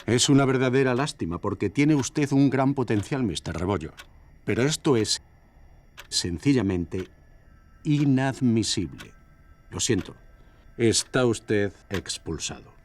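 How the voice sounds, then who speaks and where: An actor playing a stern university dean, speaking with measured, formal authority. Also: male voice, medium pitch, expressive, a little mellow, natural voice. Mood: grave regret.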